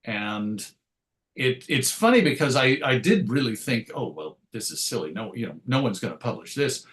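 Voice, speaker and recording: Male interview guest, writer type: conversational, thoughtful and wordy, with hesitant phrasing and a medium-pitched voice. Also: very animated, moderate pace, fairly bright tone. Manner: reflective, wordy, hesitant phrasing with self-corrections and wry asides. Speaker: interview guest, comics writer and radio host.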